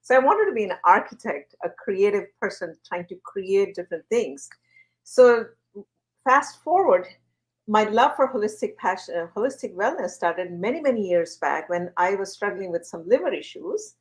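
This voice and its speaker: Woman from india talking on a show, earnest, lots of uhs and you knows, mid pitch. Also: normal speed. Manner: animated, earnest, uses fillers. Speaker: guest, wellness platform founder. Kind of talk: conversational interview answers.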